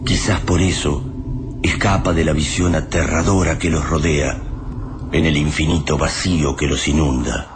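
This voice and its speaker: A man's voice: extremely low pitch, steady tone, moderate pace. A man with an Argentine accent narrating a radio drama as a grave, literary storyteller of an apocalypse. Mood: solemn. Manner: solemn, literary. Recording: clearly noisy radio play track.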